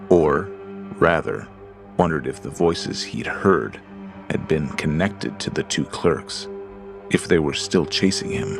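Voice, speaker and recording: A man with a very deep, gravelly voice reads a horror story slowly and ominously. Creepy music plays underneath his narration.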